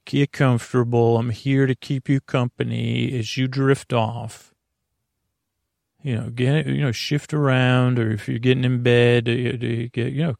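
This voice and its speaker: A man hosting a bedtime podcast with a creaky voice, meandering hesitantly through a soothing monologue and often losing his thread. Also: mid-range voice, no room sound, studio quality. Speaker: host of a bedtime story podcast to help listeners sleep.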